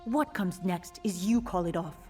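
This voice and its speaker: Actress as a measured, resolute woman tactician. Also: lowish voice, a little mellow, faint music behind, natural voice. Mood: stern. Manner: measured, matter-of-fact, firm. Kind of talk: video game cutscene acting.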